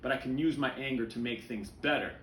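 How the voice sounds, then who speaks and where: A firm, serious picture-book father acted by a man reader explains things plainly. His pitch is average for a man, and the recording has a roomy echo. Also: fairly quick, fairly bright tone, a little hiss or hum, natural voice. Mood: angry.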